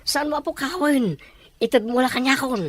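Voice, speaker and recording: A woman's voice: high-pitched, moderate pace, no room sound. A woman acting a suspicious, dramatic character in an Ilocano-accented radio family melodrama. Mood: insistent.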